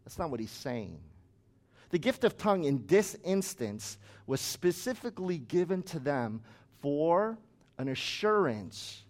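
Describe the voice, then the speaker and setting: A male pastor delivering a sermon with a voice pitched a bit above most men's. He preaches conversationally, breaking into chatty asides. He grew up in the United States. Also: very animated, unhurried.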